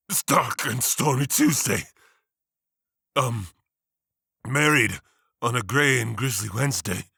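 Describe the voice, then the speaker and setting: A man voicing a troubled prosecutor with a raspy voice and a slow, halting, intense delivery. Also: medium pitch, sharp tone, no room sound, clean studio sound, full character voice. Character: troubled, unhinged male prosecutor turned fugitive.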